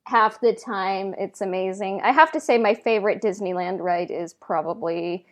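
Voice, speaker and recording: Woman with mid-pitched voice, chatting casually. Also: normal speed. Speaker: podcast co-host. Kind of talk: casual chat.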